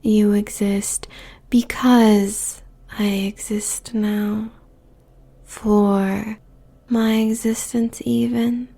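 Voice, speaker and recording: A woman playing a possessive, seductive succubus demon queen in a breathy, teasing whisper, as an intimate audio roleplay. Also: medium pitch, level tone, mellow. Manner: slow, teasing, drawn-out phrases.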